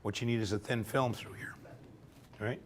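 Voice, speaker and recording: A man with a fairly low voice lectures a workshop in a loose, conversational way. His voice is wearing out and turning hoarse, and he keeps checking in with the room. It sounds like a lecture room. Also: fairly even intonation, normal speed. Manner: conversational, often ends points with "okay?". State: losing his voice. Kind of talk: informal technical lecture.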